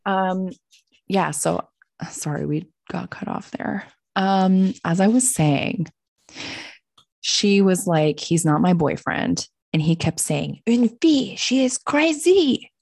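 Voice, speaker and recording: A woman's voice: on the low side, slow pace, vocal fry, no room sound, clean studio sound. Young Canadian woman telling a story bluntly. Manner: animated, blunt, sweary, acts out quoted dialogue. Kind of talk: casual conversational storytelling.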